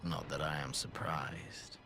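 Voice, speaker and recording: A man voicing a brooding, menacing warrior villain in a video game, speaking deliberately and breathily. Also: extremely low pitch, steady tone. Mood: cold menace. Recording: loud game music behind.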